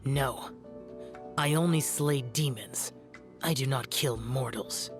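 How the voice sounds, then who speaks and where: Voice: mid-range voice, takes it slowly, over loud music. An actor playing a stern, aloof, youthful demon-slaying guardian in a video game, solemn and formal. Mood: firm.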